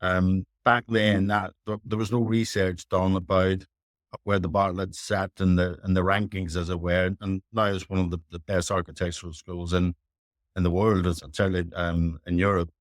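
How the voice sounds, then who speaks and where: A middle-aged man with a Northern Irish accent, an architect guest telling his story in a thoughtful, measured, self-effacing way. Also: deep voice, flat delivery, no echo, studio quality. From Northern Ireland. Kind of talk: reflective interview storytelling.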